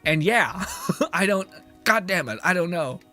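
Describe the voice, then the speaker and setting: A man's voice: high-pitched, expressive, measured pace, sharp tone, soft background music. Laid-back male game streamer, laughing. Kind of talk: casual gameplay chat, reading chat aloud.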